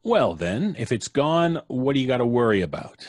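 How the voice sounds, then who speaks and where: Blunt police officer, wry, colloquial, mid-pitched, very animated delivery, vintage suspense radio drama. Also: male voice, normal speed, dry recording, clean studio sound. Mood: dismissive. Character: skeptical, blunt, streetwise male police officer. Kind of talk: old-time radio suspense acting.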